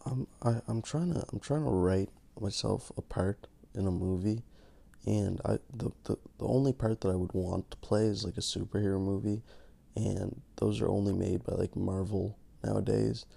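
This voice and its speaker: A man hosting his podcast in a casual, rambling monologue, gravelly from just waking up, joking at his own expense. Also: fairly low pitch, steady tone, moderate pace, mellow. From Canada. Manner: rambling, self-mocking, many restarts. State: just woke up. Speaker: podcast host.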